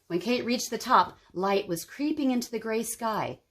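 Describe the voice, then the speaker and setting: Animated woman reading a children's story. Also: a little high, normal speed. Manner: dramatic, explains words to children. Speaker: story-time reader. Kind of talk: expressive children's storybook narration.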